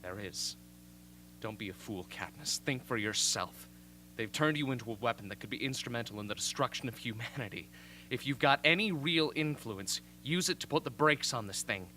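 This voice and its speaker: A man's voice: mid-range voice, expressive, moderate pace, pushed and bright, slight background noise, poor audio. Man acting a tense, earnest young captive. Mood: urgent pleading. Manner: urgent, emphatic, insistent. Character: earnest, strained young captive pleading for peace. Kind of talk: amateur radio drama acting.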